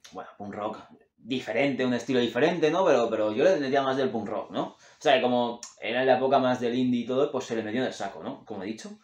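Chatty man in an echoey room. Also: medium pitch. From Catalonia, Spain. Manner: rambling, enthusiastic, self-correcting, gestures with his hands. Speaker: host recommending music. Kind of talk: casual chat. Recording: roomy echo in a home room.

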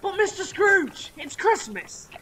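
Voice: very high voice, big pitch swings, takes it slowly, a crowd in the background, exaggerated voice. A performer playing a timid, pleading man in debt in a radio drama, stammering and deferential. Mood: anxious, pleading. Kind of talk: stage-style radio drama acting.

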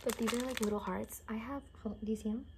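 A young woman speaking in a soft, breathy whisper, improvising a casual, rambling ASMR roleplay with a gently sardonic manner. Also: on the high side, steady tone, fast talker. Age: young adult. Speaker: ASMR creator playing a caretaker. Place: small home bedroom, indoors. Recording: faint background room noise.

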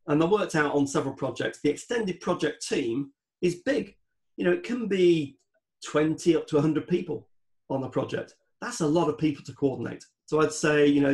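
Guy on a podcast, bit of gravelly fry in his voice, explains stuff step by step. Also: a little high. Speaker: guest expert on home renovation project management.

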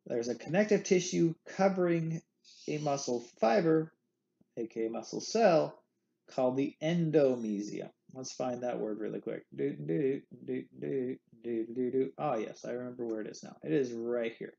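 A man with a gravelly, mellow voice talks through lecture slides in a playful, jokey way, full of candy analogies. The sound is a bit dull and lo-fi, like a computer mic. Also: mid-range voice, normal speed. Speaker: anatomy instructor. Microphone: screen recording with webcam.

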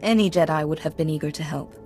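A woman playing a calm, principled Jedi Master in a video game, composed, measured and formal. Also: deep voice, close to an everyday voice. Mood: composed, resolute. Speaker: game protagonist. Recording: music clearly under the voice.

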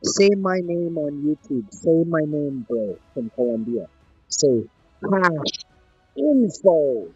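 Man, from Canada, gaming YouTuber, husky, upbeat slang, dull lo-fi audio. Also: fairly high pitch, very animated, dry recording, soft background music. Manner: upbeat, slangy, repeats himself slowly to a non-English speaker. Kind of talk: casual chat with an online gaming opponent.

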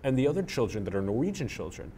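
A man in his thirties hosting an interview, talking in a rambling, animated way with lots of "like", on a lapel mic in a small room. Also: mid-range voice, rapid-fire, slight background noise. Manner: rambling, animated, uses "like" a lot. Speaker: podcast host. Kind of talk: casual interview chat. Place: small plain room indoors.

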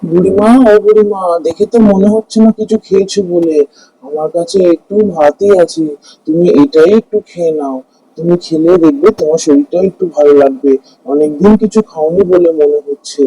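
A man plays a kindly poor farmer in a cartoon folk tale. His voice is high for a man, soft-edged and rough with huskiness. He talks earnestly and plaintively, in a dry, close sound. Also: a little fast, no room sound, soft background music. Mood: caring, reassuring. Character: kind, hard-working poor village farmer, male. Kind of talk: Bengali animated fairy-tale dialogue.

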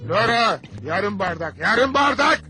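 A stingy, middle-aged cartoon father blusters and scolds in a high-pitched voice. He is sarcastic and easily annoyed, with music playing clearly behind him. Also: animated, unhurried. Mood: scolding. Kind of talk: dubbed cartoon acting.

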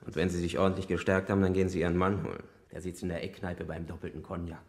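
An actor playing a polite, businesslike plainclothes police detective in a 1960s German radio play. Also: male voice, deep voice, flat delivery, very fast, reverberant. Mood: courteous, firm. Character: smooth, courteous male plainclothes police detective. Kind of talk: German radio play acting around 1960.

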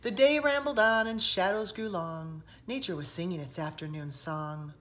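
A woman reading a picture book aloud expressively, with dramatic hushed passages and emphatic rises, recorded indoors by a camera. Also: fairly low pitch, normal speed. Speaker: children's author reading aloud. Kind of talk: expressive storybook read-aloud. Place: indoors, seated on a sofa in a room.

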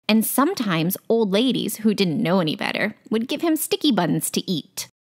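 A woman reading a scripted children's tale as a storyteller. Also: mid-range voice.

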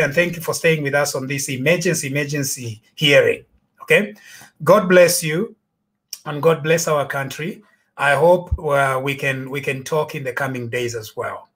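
A man's voice: fairly high pitch, fairly bright tone. A middle-aged man hosting a live late-night call-in chat from a home study, thanking callers and switching between English and a local language. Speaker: host. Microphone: desk microphone in front.

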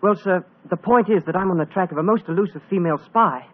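An actor playing an earnest, deferential young British government agent, eager and respectful, in 1940s radio drama style. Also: male voice, sits high, quick pace. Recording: muffled vintage radio broadcast.

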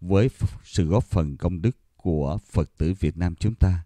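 A man narrating a pilgrimage video in a solemn, measured, reverent voice-over. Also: low-pitched, warm tone, no room sound. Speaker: documentary narrator.

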